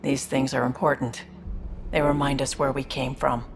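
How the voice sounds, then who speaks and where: A woman playing a tough, streetwise alien smuggler with a soft spot in a video game, frank and wry, with a gravelly voice. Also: flat delivery, moderate pace, a little hiss or hum. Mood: wry, reflective. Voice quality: low, gravelly.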